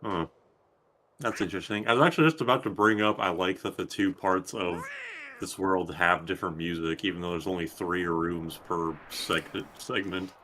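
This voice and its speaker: A man's voice: deep voice, moderate pace, pushed and bright, a little hiss or hum. A man streaming a video game, giving casual commentary and chatting with some swearing.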